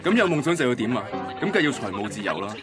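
A man acting as a heartbroken young man tells of his first love in a radio play. His Hong Kong Cantonese voice moves up and down freely, wistful and self-deprecating, with music clearly playing underneath. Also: mid-range voice, big pitch swings, rapid-fire, a crowd in the background, natural voice. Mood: weary, sardonic. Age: young adult. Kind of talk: radio drama narration and dialogue.

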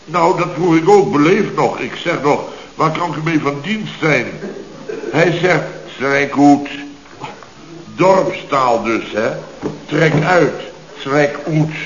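Casting: sarcastic, blustering boss for vintage radio comedy, slightly high voice, dull roomy sound. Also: male voice, animated, slow, background noise. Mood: aggrieved storytelling. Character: indignant, sarcastic boss of a building firm. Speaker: actor in a comedy radio serial. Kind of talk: late 1960s Dutch radio comedy acting.

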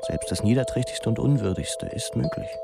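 An actor playing a wry, dreamy, self-mocking young pupil in a radio drama monologue, musing and halting in a theatrical way. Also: male voice, mid-range voice, lively intonation, vocal fry. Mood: dreamy, fervent. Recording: music behind the voice.

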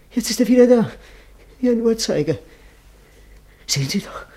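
A man's voice: fairly high pitch, a little mellow, slightly rough, full character voice. An actor playing a frightened, feverish sick man in a camp, speaking anxiously in a radio play. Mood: panicked. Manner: anxious, fearful, agitated.

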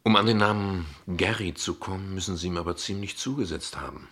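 Radio drama casting: a defensive, courteous father, deep and creaky, dry irony in formal phrasing. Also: bright, forceful tone, full character voice. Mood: defensive, cool. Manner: dry, ironic, formal, deliberate. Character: guarded, ironic father, courteous but defensive. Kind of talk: German radio play dialogue acting.

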